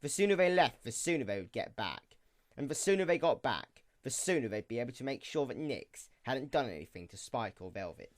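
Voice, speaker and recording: A man's voice: mid-range voice, big pitch swings, fairly quick. A man reading scripted story narration for an audio drama.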